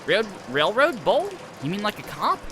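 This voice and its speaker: A man's voice: sits high, wildly swooping pitch, normal speed, background noise. A man streaming a game, reading its dialogue aloud in a wry, playful way.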